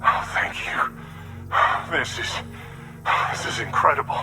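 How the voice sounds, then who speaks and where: An anxious, earnest father with a very sharp, forceful voice in a game drama. He sounds slightly distant, with light music behind him. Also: mid-range voice, sing-song, a little slow, heavily acted. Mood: grateful. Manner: earnest, urgent, breathy relief. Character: anxious, grateful expectant father in isolated shelter. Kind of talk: dramatic video game acting.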